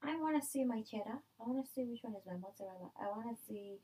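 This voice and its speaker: Animated Canadian woman in casual talk. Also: fairly high pitch, quick pace, a crowd in the background, rough recording. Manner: animated, uses playful examples and acted-out quotes. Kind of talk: casual conversation.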